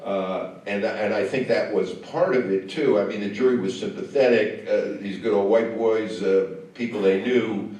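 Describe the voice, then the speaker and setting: A man, a film director, answering questions on stage after a screening, talking in a halting way with many fillers and restarts. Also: on the low side, a little mellow. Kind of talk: on-stage conversation. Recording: echoey hall, mic far off.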